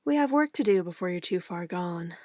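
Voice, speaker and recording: A woman's voice: fairly low pitch, animated, brisk, darkish tone, no room sound, studio quality. A woman playing an obsessive, controlling witch in an ASMR roleplay, a sweetly menacing lover who moves between coaxing endearments and firm commands. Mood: possessive, controlled.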